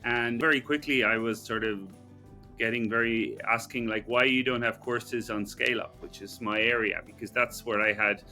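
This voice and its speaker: A middle-aged Irish man, a professor guest, telling his story in a relaxed, conversational way. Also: medium pitch, normal speed, faint music behind. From Ireland. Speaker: guest, business school professor. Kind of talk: conversational interview.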